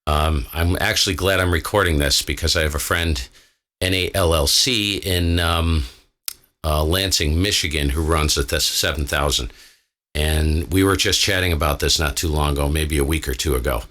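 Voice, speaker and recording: A man's voice: a bass voice, moderate pace, sharp tone. An American man, an amateur radio operator, chatting casually with an easygoing, colloquial manner. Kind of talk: casual chat over ham radio.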